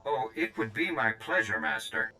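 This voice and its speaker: An actor playing a formal, deferential droid assistant in a video game, long-suffering and servile toward a master. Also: male voice, on the low side, monotone, moderate pace, sharp tone, faint music behind, low-quality recording. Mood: dry deference. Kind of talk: video game character dialogue.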